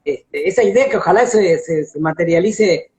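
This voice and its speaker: An Argentine man hosting a casual, enthusiastic conversation, speaking haltingly and repeating words as he thinks aloud over a video call. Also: sits high, lively intonation, a little mellow. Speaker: interview host. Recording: dull lo-fi live-stream audio.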